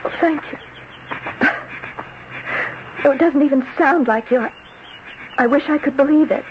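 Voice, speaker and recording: A woman's voice: high-pitched, normal speed, lightly acted. An actress playing a proud, wounded young woman in an old-time radio drama. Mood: softening, wistful. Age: young adult. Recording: dull, noisy old radio recording.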